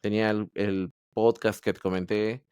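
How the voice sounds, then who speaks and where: A man's voice: fairly low pitch, level tone, moderate pace, darkish tone, clean tone, no room sound, studio quality. A man from Peru, telling his story as a podcast guest in a candid, hesitant way full of restarts. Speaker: podcast guest, Android developer. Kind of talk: casual interview storytelling.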